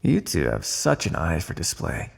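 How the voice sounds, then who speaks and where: An actor playing a flirtatious, smugly predatory yet caretaking male vampire in an intimate ASMR roleplay, with a breathy voice and teasing asides. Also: a bass voice, wildly swooping pitch, moderate pace, heavily acted. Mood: smooth, appreciative. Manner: teasing, drawling asides with soft sighs and chuckles. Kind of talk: ASMR roleplay, intimate second-person acting.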